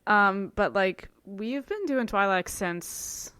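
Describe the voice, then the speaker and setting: A woman's voice: mid-range voice, animated, takes it slowly, pushed and bright, clear, resonant tone, no room sound. A young woman from the US East Coast co-hosting a podcast, chatting in a rambling, filler-heavy way. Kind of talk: casual chat.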